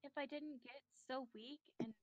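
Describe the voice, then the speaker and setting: A woman voicing a sad, self-blaming, fragile teenage anime girl in a visual novel, with halting emotional delivery. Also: high voice, fairly even intonation, no room sound, lo-fi sound, close to an everyday voice. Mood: sad, guilty. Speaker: amateur reader of a visual novel character. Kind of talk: dramatic visual novel reading.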